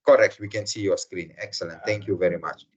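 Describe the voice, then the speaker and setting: A man from South Africa hosting an online workshop session, speaking slowly with many fillers over a video call. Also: low-pitched, fairly even intonation, husky. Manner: slow and hesitant, many fillers. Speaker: webinar host. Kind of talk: formal welcome to an online session. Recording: muffled video call audio.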